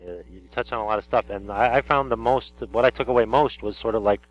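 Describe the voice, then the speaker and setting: A man hosting a casual interview, talking in a rambling, halting way with many fillers and restarts. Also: mid-range voice. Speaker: interview host. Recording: dull lo-fi radio audio.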